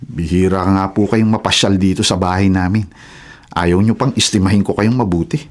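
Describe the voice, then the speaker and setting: A man's voice: sits low, steady tone, moderate pace, close to an everyday voice. A man acting as an indignant, righteous husband in a Filipino radio drama. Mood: respectful.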